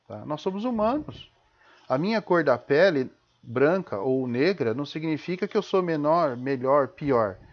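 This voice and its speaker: A man with a Brazilian accent giving an informal spoken lecture in an emphatic, didactic way, punctuated with rhetorical questions and short check-in tags. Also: on the high side. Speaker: host of a live-streamed talk. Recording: dull, lo-fi live stream audio.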